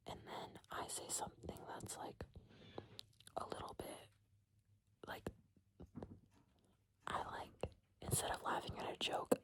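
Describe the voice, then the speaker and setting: Woman whispering a rambling ASMR chat. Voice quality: breathy, unvoiced whisper. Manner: informal, rambling, halting phrasing.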